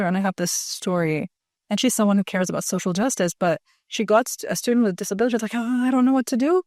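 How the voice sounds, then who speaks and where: Woman educator, mid-pitched, earnest and quick, dry recording. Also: darkish tone, no room sound. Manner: fast, earnest, explains with examples. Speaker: guest educator. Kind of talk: conversational interview.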